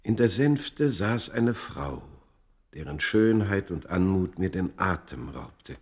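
A proud man of ancient Egypt recounting his life in a radio drama. His voice is fairly low, with a solemn, measured delivery and dramatic, literary phrasing. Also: expressive. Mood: reflective. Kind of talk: literary first-person narration in a radio play.

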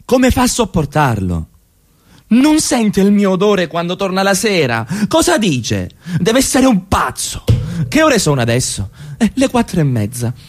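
A man's voice: sits high, huge pitch swings, measured pace, exaggerated voice. A man acting in radio theatre as a brooding married lover, needling and accusatory, insistently repeating his questions and demands. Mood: agitated, accusing.

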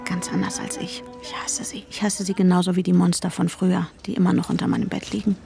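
A woman's voice: lowish voice, flat delivery, fast talker, creaky, warm tone. Intense lovestruck woman, radio drama narrator. Intimate, brooding, sometimes whispering, over prominent music. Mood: wry, confiding. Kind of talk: German radio drama first-person narration.

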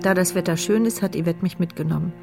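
Sardonic paralysed woman narrating her thoughts, lowish creaky voice, radio drama. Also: quick pace, over music. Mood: sober. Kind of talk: scripted radio drama monologue.

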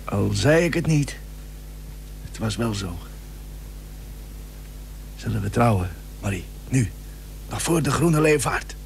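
An actor playing a plucky, stubborn young seafarer and merchant in a period radio drama, speaking earnestly in formal old-fashioned phrases. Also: male voice, mid-range voice, animated, slow, gravelly, pauses often. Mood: tender, earnest. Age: young adult. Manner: earnest, formal archaic speech. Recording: noisy old radio recording with hum.

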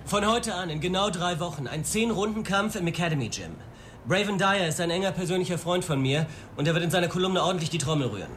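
A male actor playing a brisk, scheming senior police official with a pompous, persuasive delivery in a radio play. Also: high voice, animated, a bit bright, a little hiss or hum. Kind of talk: German radio play dialogue.

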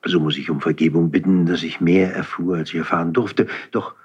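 A long-serving count in a classical radio play. His very deep, husky voice is formal, measured and slyly deferential. The recording is dull and lo-fi. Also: steady tone. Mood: discreet, insinuating. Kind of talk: classical German verse radio drama acting.

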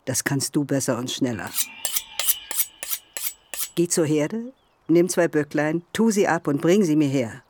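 A woman's voice: low voice, animated, unhurried, pauses often, noisy background. An actress playing an imperious, scheming biblical mother in a literary radio drama, speaking tersely and at times in incantatory whispers. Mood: commanding, urgent.

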